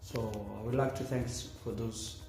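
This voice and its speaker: A man from Somalia speaking about a course he took, in a halting way with repeats and fillers. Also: medium pitch, little pitch movement, a little mellow, very echoey, faint music behind. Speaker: course participant, academic director. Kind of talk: testimonial.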